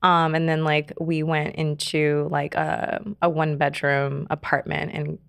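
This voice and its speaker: A low-pitched woman in her thirties, originally from South Korea, shares her personal story as a podcast guest. She talks softly and hesitantly, full of fillers and little laughs. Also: completely flat delivery, vocal fry, smooth, no echo. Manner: soft-spoken, many fillers and trailing pauses, laughs often. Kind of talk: casual interview, personal story.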